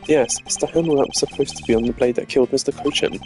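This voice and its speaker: A man voicing a composed, analytical prosecutor in a video game, speaking in a deliberate, formal manner. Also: medium pitch, fairly even intonation, darkish tone, natural voice. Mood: serious, reasoning. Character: composed, analytical male prosecutor investigating a murder. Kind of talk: voiced video game dialogue read live. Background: game music.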